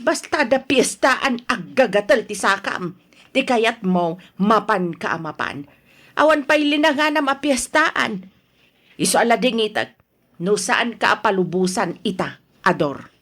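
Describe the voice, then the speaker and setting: A woman's voice: a little high, animated, measured pace, fairly bright tone. A woman playing an exasperated middle-aged wife in an Ilocano radio drama, speaking with an Ilocano accent in a nagging, exclamatory manner. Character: exasperated middle-aged wife scolding, then placating her husband.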